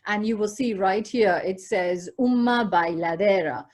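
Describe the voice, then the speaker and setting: A woman with a Goan accent giving an academic keynote lecture over a video call, measured and scholarly, with asides to the audience. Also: mid-range voice, a little mellow. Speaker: keynote speaker. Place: video call from home or office. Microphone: computer or headset mic on a video call.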